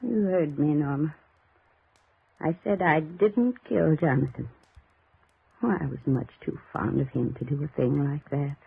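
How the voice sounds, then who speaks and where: An actress playing a dying old aunt in a 1950s radio drama, folksy, chatty and chiding. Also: female voice, low voice, a little slow, vocal fry, pauses often, breathy edge, dry recording, full character voice. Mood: matter-of-fact. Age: older. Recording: tinny old radio recording with music.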